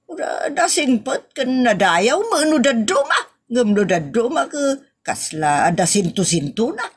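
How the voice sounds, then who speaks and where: A woman's voice: on the high side, very animated, measured pace, slightly rough, exaggerated voice. A woman playing a practical, persuasive wife in an Ilocano comedy audio drama, conversational and with an Ilocano accent.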